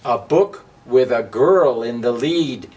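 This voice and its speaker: A man voicing a prim, scolding matchstick in a storybook, disapproving, with drawn-out emphasis. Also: mid-range voice, lively intonation, a little mellow. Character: prim, scolding male match, a book-banning busybody. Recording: distant mic with faint background noise.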